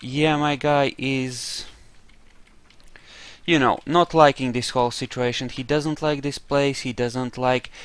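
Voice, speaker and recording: A man's voice: mid-range voice, fairly even intonation, slow, clean tone. A man giving casual roleplay commentary as he plays a video game, muttering to himself with playful exclamations. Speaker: gamer, let's play host.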